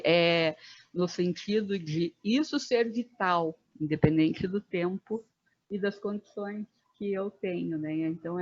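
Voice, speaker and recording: A woman in her fifties, a guest facilitator, speaking thoughtfully in a podcast conversation with many pauses and fillers. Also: fairly low pitch, no echo, muffled recording. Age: 50s.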